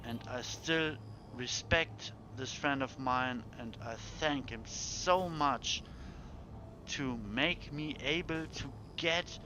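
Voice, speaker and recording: A man's voice: mid-range voice, slow pace, noisy background. A man with a German accent, a native German speaker, streaming a game and chatting hesitantly, searching for words and sometimes dropping to a whisper. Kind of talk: live-stream gameplay chat. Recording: game sounds loud behind voice.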